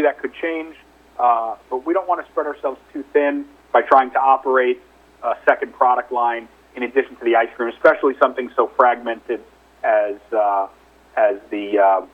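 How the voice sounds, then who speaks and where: A male chief executive answering questions on an investor call over the telephone, explaining things in a conversational, hedging way. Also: mid-range voice. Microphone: telephone conference call. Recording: narrow, muffled telephone line.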